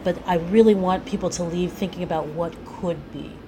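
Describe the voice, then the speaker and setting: Woman, slightly low register, thoughtful interview guest, earnest and expansive in answers. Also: steady tone, moderate pace, warm tone, a little hiss or hum. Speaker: guest playwright discussing their work; teacher. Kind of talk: reflective interview talk.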